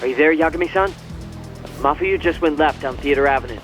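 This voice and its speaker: A man's voice: on the high side, brisk. A man playing a casual, slightly nervous young informant in a video game cutscene, heard through a phone, chatty and hesitant. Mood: anxious urgency. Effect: phone call filter. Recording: dull phone call over faint music.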